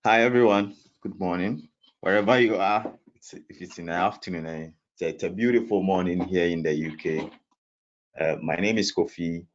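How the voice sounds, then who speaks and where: A man from Ghana introducing himself as a panelist on an online panel, speaking in a measured way with some restarts. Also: sits low. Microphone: video call. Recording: dull lo-fi video call audio.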